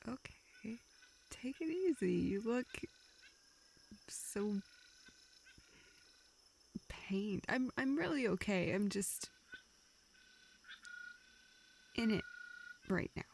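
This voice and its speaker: A young woman playing a self-deprecating, vulnerable friend in an intimate audio roleplay, speaking in halting, self-interrupting dialogue. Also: fairly high pitch, lively intonation, gravelly, a little mellow, a little hiss or hum, full character voice. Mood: gentle, awkward. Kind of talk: intimate, naturalistic one-sided dialogue.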